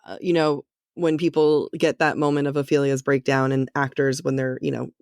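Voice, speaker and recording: A woman's voice: extremely low pitch, little pitch movement, moderate pace, darkish tone, no echo. Chatty woman co-host with dry, close sound. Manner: rambling, peppered with "like" and "you know". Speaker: podcast co-host. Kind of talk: casual, chatty interview talk.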